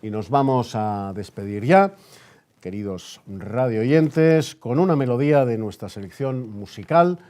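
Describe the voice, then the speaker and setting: A man's voice: mid-range voice, unhurried, a little mellow. A man with an accent from Spain, hosting on radio in the manner of a news presenter. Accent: Spanish, from Spain. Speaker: radio host. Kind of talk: period radio news bulletin reading, then studio interview.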